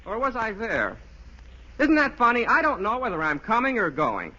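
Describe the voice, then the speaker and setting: Dotty genteel eccentric, high lively voice, absent-minded, chirpy, old radio comedy, dull vintage recording. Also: male voice, normal speed, heavily acted. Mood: cheerfully muddled. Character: dotty, eccentric male boarder, cheerfully muddled. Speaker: actor in comedy radio show.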